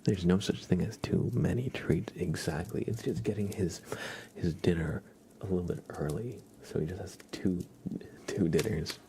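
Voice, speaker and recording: A man hosting an ASMR livestream, chatting softly and gently and often slipping into whispers. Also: sits low, normal speed. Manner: gentle, hushed, drifting into whispers. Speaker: livestream host.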